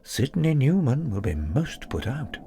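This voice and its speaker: A man's voice: medium pitch, big pitch swings, measured pace, gravelly, warm tone, a little hiss or hum. A man playing a fussy, muttering old grandfather explorer in a parody sci-fi audio serial. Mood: fussy dismay.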